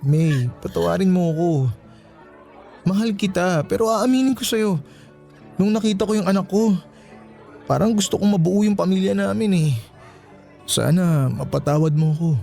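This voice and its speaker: An actor with a Filipino accent playing a flustered, apologetic young boyfriend, pleading and placating in a radio romance drama. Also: male voice, high voice, moderate pace, vocal fry, long pauses, mellow, soft background music. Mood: remorseful.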